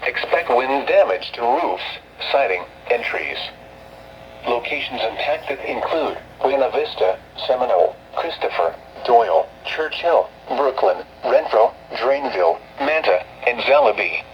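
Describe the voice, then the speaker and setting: A man's voice: medium pitch, big pitch swings, measured pace, creaky, lo-fi sound, slight background noise. Male weather radio announcer, stilted synthetic delivery, formal scripted bulletin, echoey distant radio sound. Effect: radio broadcast. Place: recorded off a radio receiver.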